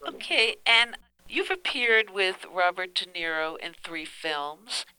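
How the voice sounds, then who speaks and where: Bright, crisp female radio host. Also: on the low side, expressive, slow, no echo, low-quality recording. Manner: brief prompts and encouraging murmurs. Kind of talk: radio interview.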